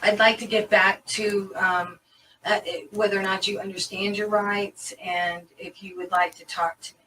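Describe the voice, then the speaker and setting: A woman police interviewer works through calm, methodical questions in a bright voice. She sits a little away from the microphone, and faint background noise runs under the recording. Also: mid-range voice, normal speed. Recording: distant mic with faint noise.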